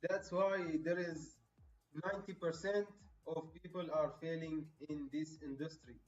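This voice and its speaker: A man's voice: fairly high pitch, level tone, a little slow. A man from Egypt commenting casually on a live stream, talking to viewers as bro and lecturing them on discipline. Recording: roomy, distant and dull with faint music.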